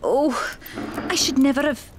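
An actress playing an eccentric, flighty and solemn psychic medium in an audio horror drama, with flowery, genteel speech. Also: female voice, sits high, measured pace, background noise. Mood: flustered.

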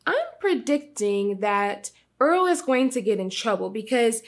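High-pitched young woman reading expressively to children. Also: a little slow. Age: young adult. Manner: expressive, teacherly, prompting predictions. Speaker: storytime host and teacher. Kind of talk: children's read-aloud with lesson commentary.

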